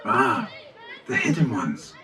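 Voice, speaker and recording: An actor playing a gentle, inquisitive young assassin in a game cutscene, calm and questioning. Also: male voice, takes it slowly, far from the mic, crowd noise, natural voice. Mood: gentle, amused. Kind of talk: video game cutscene acting.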